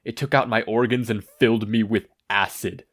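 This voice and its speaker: A man's voice: fairly low pitch, fairly even intonation, fairly bright tone. Man acting out a shaken, wailing hero. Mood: shaken, fearful. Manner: dramatic, shaky, halting. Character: traumatized, wailing man, dramatic older hero.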